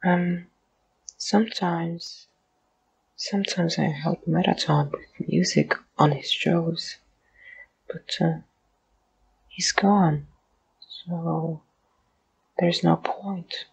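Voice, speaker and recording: A woman's voice: lowish voice, fairly even intonation, very slow, creaky, long pauses, breathy edge, full character voice, rough recording. A woman playing a shy, mournful young male ghost in an audio drama, hesitant and stammering. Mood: timid, downcast.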